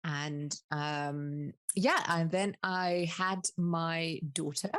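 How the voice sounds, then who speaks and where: A woman's voice: deep voice, fairly even intonation, extremely slow, fairly bright tone, clean tone. A woman in her thirties from Luxembourg, a native Luxembourgish speaker with a British-tinged English accent, chatting candidly as a podcast guest. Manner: candid, laughs easily, hedges with fillers. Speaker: guest, business coach. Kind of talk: casual interview chat.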